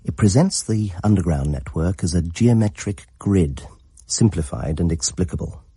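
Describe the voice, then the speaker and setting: An actor playing a scholarly narrator, delivering scripted radio drama narration in a measured, precise manner. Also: male voice, deep voice, animated, warm tone, slightly rough, no echo, exaggerated voice.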